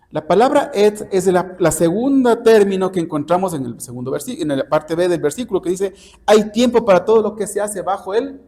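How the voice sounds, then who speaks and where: A man's voice: sits high, mellow. A man preaching an expository sermon like a lesson, didactic and often checking understanding with questions. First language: Spanish. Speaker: church preacher and Bible teacher.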